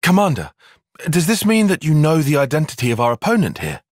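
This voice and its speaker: An earnest young tactician in a video game cutscene. His voice is a little husky and recorded close and dry. He speaks in formal, bookish sentences, with pitch that moves a good deal. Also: huge pitch swings, fairly quick, no echo. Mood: urgent, exasperated. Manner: precise, formal, bookish phrasing. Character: analytical, earnest young male soldier and tactician. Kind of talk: dramatic game cutscene acting.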